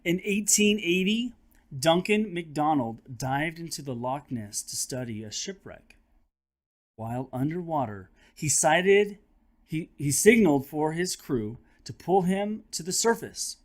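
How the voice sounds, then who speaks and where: A man hosting a children's read-aloud, telling the story in a dramatic, expressive way with chatty asides. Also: a little high, measured pace. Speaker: host and teacher reading aloud to children.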